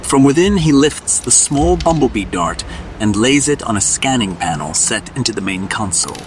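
A man's voice: medium pitch, big pitch swings, moderate pace, background noise. A man narrating an audio drama in a dramatic, scripted style.

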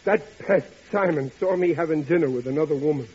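An actor playing a flustered, put-upon husband in a 1940s radio comedy, blustering and hesitating in an aggrieved way. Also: male voice, a little high, brisk, darkish tone, slightly rough. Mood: worried. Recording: dull old radio recording.

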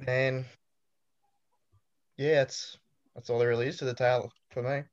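A man's voice: mid-range voice, level tone, normal speed, muffled recording. Laid-back Australian guy in his twenties. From New South Wales, Australia. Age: 20s. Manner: laid-back, storytelling, dry humor. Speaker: interviewee. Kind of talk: casual interview chat.

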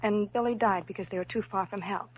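An actress playing a worried, loyal young woman in a classic radio drama, with a husky voice and an earnest, emotional delivery. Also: mid-range voice, fairly even intonation, fast talker, lightly acted. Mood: sorrowful. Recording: muffled old radio recording.

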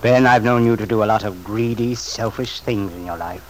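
An actor playing an earnest, reproachful middle-aged uncle in an old-time radio soap, speaking in a grave, moralizing, deliberate way. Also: male voice, fairly low pitch, lightly acted. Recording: noisy vintage radio drama recording.

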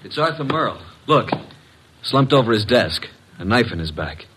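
A man playing a brisk, wisecracking detective's assistant, loyal and matter-of-fact, in an old radio drama. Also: mid-range voice, big pitch swings, natural voice. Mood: brisk, alert. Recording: noisy old radio recording with clicks.

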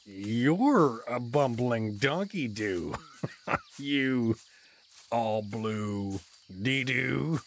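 A man's voice: medium pitch, lively intonation, drawn-out words, a little hiss or hum. A man playing a drunk, good-natured, bumbling dad adventurer in an audio drama, rambling and stumbling over words. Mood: tipsy.

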